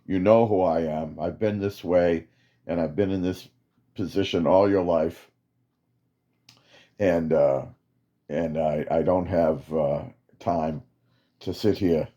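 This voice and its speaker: A man voicing a stern male military commander who gives slow, emphatic orders. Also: low voice, steady tone, dark tone, highs cut off.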